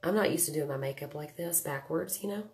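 Low nasal woman with a cold. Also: fairly quick, darkish tone. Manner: chatty, joking, greets viewers by name. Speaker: makeup livestream host. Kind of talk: casual live makeup tutorial chat. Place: small home room indoors.

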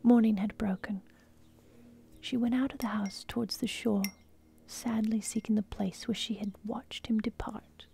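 A woman narrating a classical myth in a soft, slow unvoiced whisper, ASMR style, close to the mic. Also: a little high, fairly even intonation, creaky.

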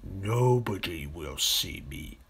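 Storybook villain: huge boastful crocodile, slow and gloating, breathy hissed whisper, greedy and sly. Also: male voice, low-pitched, animated, a bit bright, voice pushed down, heavily acted. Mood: scheming, gloating. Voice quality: hissing, breathy half-whisper with drawn-out words. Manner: slow, gloating, savouring menace.

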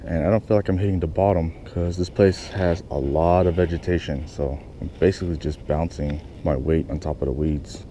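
Deep-voiced man muttering from a kayak. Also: fairly even intonation, a little fast, few pauses, mellow, slight background noise. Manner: muttering to himself, swears often. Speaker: fishing vlogger. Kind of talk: casual talk while fishing. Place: small kayak on a lake outdoors. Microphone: head-mounted action camera.

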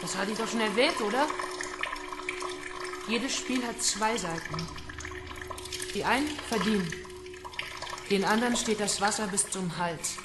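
A woman's voice: medium pitch, measured pace, pauses often, heavy background noise, close to an everyday voice. An actress playing a cold, mocking female kidnapper in a radio crime drama, taunting with cool control. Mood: taunting, controlled. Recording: echoey pool-like room, music behind.

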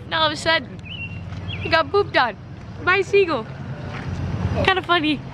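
A woman's voice: very high voice, expressive, moderate pace, noisy background. A teenage girl vlogging in a casual, upbeat way, talking to a camera held at arm's length in a car and outdoors. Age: teens. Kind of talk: casual vlog chat. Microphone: handheld camera at arm's length.

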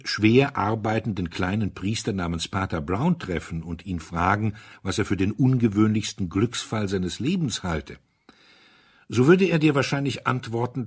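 Male audiobook narrator, low husky voice, measured and dryly ironic. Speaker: audiobook reader. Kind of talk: scripted literary narration.

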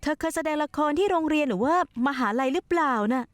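A woman's voice: sits high, fast talker, dry recording, lightly acted. A woman dubbing a scheming young wife in a television drama, who talks in a coaxing, pushy and manipulative way. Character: scheming young wife plotting to run away. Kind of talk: dubbed television drama acting.

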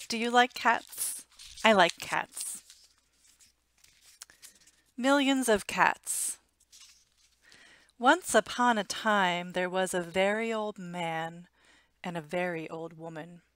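Slow-paced American woman reading to children. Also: medium pitch, dry recording. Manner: expressive, deliberate, simple storytelling for young children. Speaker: storytime reader. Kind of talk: reading a children's picture book aloud. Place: small room at home. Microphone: headset.

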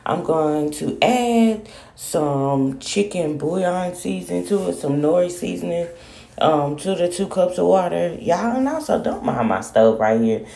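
A woman casually talking viewers through cooking in her home kitchen, in a relaxed, chatty way. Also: fairly low pitch, a little slow, vocal fry. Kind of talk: casual cooking vlog, voice-over narration.

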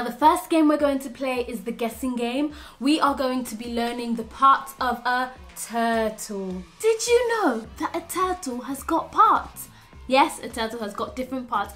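A young woman presenting a children's lesson, animated and encouraging, speaking clearly for small children. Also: a little high. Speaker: early years teacher and host. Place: small room with plain walls, indoors.

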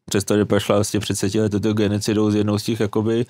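A man in his thirties hosting a panel discussion in a small studio, asking questions haltingly while searching for his words. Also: lowish voice, monotone, darkish tone, no room sound. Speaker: host. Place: small studio with wooden table. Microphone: desk mic on boom arm.

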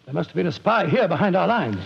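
An actor playing a bluff, hearty older army doctor with a gravelly, blustery manner, in 1940s radio acting on a lo-fi broadcast. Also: male voice, high-pitched, very animated, fast talker, exaggerated voice. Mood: anxious.

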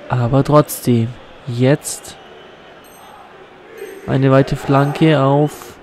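A man's voice: fairly high pitch, a little mellow, a little hiss or hum. A man with a cold and a blocked nose, commenting on a football video game he is playing, recorded on a USB condenser mic at home. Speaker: gaming YouTuber.

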